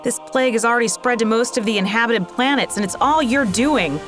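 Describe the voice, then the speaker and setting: An actress playing a tough, vengeful soldier in a video game, with a terse, commanding, sardonic manner. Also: female voice, on the high side, fairly quick, lightly acted. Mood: accusing. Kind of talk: video game cutscene acting. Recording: loud music behind the voice. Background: music.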